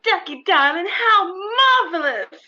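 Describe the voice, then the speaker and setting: A sugary blonde femme fatale coos in a very high, bright voice. She is coy and gushing in the manner of old radio comedy, on slightly muffled audio. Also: expressive, unhurried, exaggerated voice. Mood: cooing, coy.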